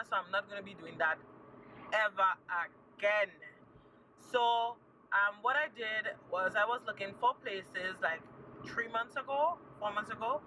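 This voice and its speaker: A young woman talks chattily to her viewers, leaning on repeated words and cheerful encouragement. Her voice sits some way from the mic, over a loud, steady background noise. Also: mid-range voice, recorded from a distance. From Trinidad and Tobago. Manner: chatty and upbeat, repeats words for emphasis, gives encouraging advice. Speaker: vlogger. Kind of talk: casual chat to camera.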